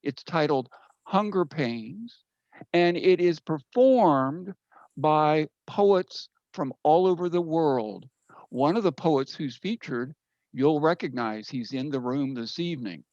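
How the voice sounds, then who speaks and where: A man from the United States presenting informally as a poet at an online open mic, in deliberate, emphatic short phrases over a video call. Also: on the high side, expressive, a little mellow, dry recording. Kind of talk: informal spoken introduction to an audience. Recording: dull, slightly lo-fi video call audio.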